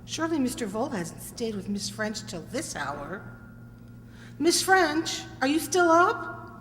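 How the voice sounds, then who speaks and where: A woman's voice: high voice, sing-song, measured pace, background noise. A woman playing a fretful housemaid in old-time radio drama, on an echoey old recording. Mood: fretful, scolding. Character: frightened housemaid discovering her mistress murdered at night. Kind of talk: 1940s radio drama acting.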